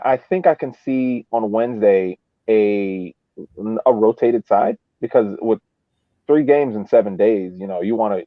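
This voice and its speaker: A man giving casual sports commentary on a podcast, haltingly thinking aloud over thin call audio. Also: lowish voice, dry recording, phone-quality sound. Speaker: podcast co-host.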